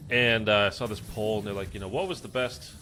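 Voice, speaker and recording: A man's voice: on the low side, fairly even intonation, brisk, pushed and bright, slight background noise. A man casually chatting through a zombies game session, rambling with lots of fillers and sounding stuffy and congested. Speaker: gaming streamer. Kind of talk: casual gameplay commentary.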